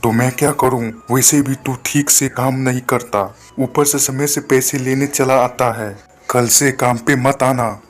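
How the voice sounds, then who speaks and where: A man's voice: medium pitch, steady tone, unhurried, hardly any pauses, voice pushed down, natural voice. Casting: man playing a haughty, dismissive landlord for a cartoon moral tale, scolding and contemptuous. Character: harsh, dismissive male landlord boss.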